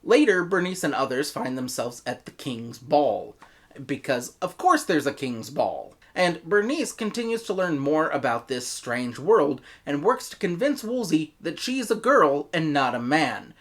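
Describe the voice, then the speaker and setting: A woman with an American accent giving a scripted review in an animated manner, with bursts of mock-exasperated emphasis. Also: sits low, unhurried. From United States. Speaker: audio drama reviewer.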